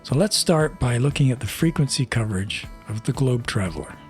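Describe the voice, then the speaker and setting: Older guy doing a relaxed gear walkthrough, average pitch, pauses a lot mid sentence, bit of music behind. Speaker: vintage radio reviewer. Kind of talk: casual product walkthrough.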